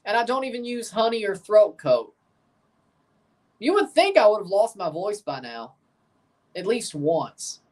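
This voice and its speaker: A woman with a mid-pitched voice hosts a live football watchalong. She mixes casual commentary with chat to her viewers. She often circles back to repeat or correct what she has said. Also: sing-song, measured pace, pauses often, darkish tone. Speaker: livestream watchalong host.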